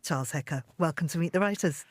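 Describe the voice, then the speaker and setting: A woman's voice: deep voice, fast. A woman hosting a radio interview about books in an effusive manner. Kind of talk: scripted introduction and conversational book interview.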